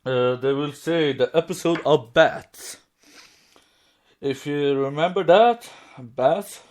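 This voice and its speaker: A man hosting a podcast, talking casually in a halting, hesitant way. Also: a little high, darkish tone. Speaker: podcast host.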